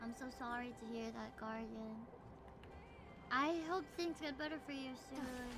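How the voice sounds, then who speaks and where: A woman live streamer chatting casually and politely with her viewers in a soft-hearted way. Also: high-pitched, normal speed, music in the background. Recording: clearly noisy stream audio.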